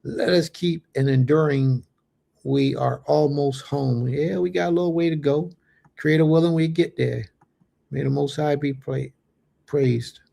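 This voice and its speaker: Gravelly, mellow-voiced man in his late thirties. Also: on the high side, a little slow. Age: late 30s. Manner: reads viewer comments aloud and answers them, with Hebrew blessings. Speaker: host of a religious fellowship livestream. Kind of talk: casual livestream chat with viewers.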